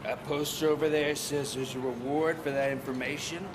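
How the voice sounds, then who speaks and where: An actor playing a brazen, dim wanted criminal in a video game, pushy and indignant in manner. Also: male voice, a little high, fairly even intonation, normal speed, background noise, lightly acted.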